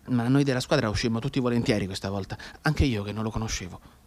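An actor playing a soldier telling a dead comrade's mother about war, in a theatrical, repetitive radio monologue that builds from hushed asides to outbursts. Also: medium pitch, fast, close to an everyday voice. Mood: grave, apologetic.

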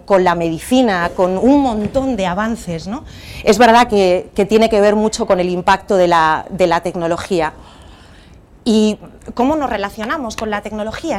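A woman with a Castilian Spanish accent presents to an audience in a university auditorium, speaking into a mic. Her delivery is conversational, full of small restarts and asides. She checks in with the room through rhetorical questions. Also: mid-range voice. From Spain. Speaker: keynote presenter. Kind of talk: conference presentation.

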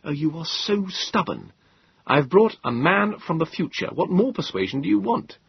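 Guy putting on an eager young inventor, higher and brighter, stubborn, husky edge. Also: sing-song, normal speed, no echo, muffled recording, heavily acted. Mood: brisk, bright.

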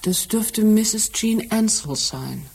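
Breathy, subdued, discreet secretary. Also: female voice, mid-range voice, lively intonation, slow pace, a little mellow, full character voice. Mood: reserved, defensive. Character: shaken, loyal, discreet secretary of a murdered man. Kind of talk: German radio crime drama acting.

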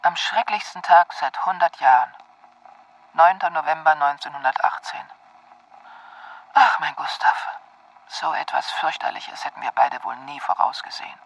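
A husky male voice, slightly high and set back from the microphone. He plays a grieving letter writer in a radio drama, solemn and lamenting. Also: normal speed, a bit bright, dry recording, far from the mic, poor audio. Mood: sorrowful.

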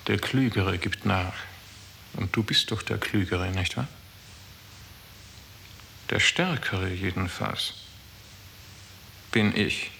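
Casting: smug, menacing bureaucrat for radio play, bright forceful voice, patronising delivery. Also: male voice, deep voice, slow, creaky, pauses often, a little hiss or hum, exaggerated voice. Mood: soft menace. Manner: patronising, speaks in proverbs and slogans. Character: overbearing male bureaucrat, smugly coaxing and menacing. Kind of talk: 1960s German radio drama acting.

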